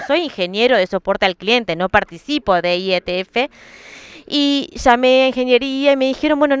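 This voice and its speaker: A woman's voice: fairly high pitch, clear, resonant tone, no room sound, lightly acted. A woman working as a simultaneous interpreter, rendering a panel speaker's remarks live.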